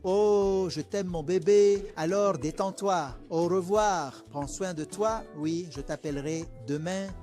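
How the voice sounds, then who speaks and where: A woman's voice: low-pitched, measured pace, few pauses, a little mellow. An actress playing a devout mother who fusses over her daughter on the phone, with music behind. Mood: doting. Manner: fussing, affectionate.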